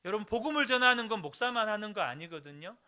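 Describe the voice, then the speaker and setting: A man's voice: high-pitched, animated, fast, pushed and bright, clear, resonant tone. A man in his thirties, a pastor, preaching a sermon earnestly and addressing the congregation directly. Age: 30s. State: recovering throat, clears throat.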